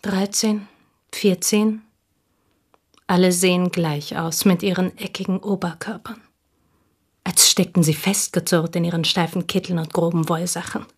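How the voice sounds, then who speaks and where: Sober provincial confidante, radio play, lowish female voice, measured, precise, wistful storytelling. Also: steady tone, husky. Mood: reminiscing. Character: sober provincial French woman, the devoted childhood confidante. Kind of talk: German radio drama acting, reflective storytelling.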